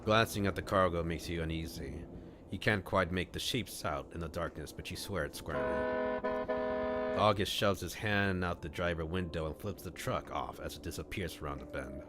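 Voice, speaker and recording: A man's voice: low-pitched, normal speed, very noisy. A man streaming a read-aloud of a visual novel, narrating it as a storyteller. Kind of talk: storytelling narration with acted dialogue.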